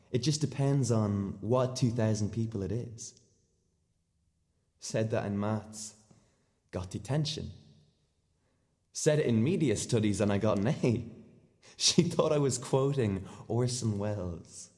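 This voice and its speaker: A man plays a naive, misunderstood teenage schoolboy in a radio play monologue. He talks in slow, clipped fragments with a mellow tone and an earnest deadpan. The voice rings in long hall reverb. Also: lowish voice. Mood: earnest, defiant.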